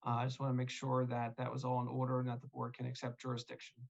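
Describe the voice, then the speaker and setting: A man's voice: mid-range voice, completely flat delivery, fast talker, vocal fry. A man speaking as an attorney at a zoning hearing over a video call, polite and hesitant with many fillers. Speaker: attorney for the applicant. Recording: dull lo-fi call audio.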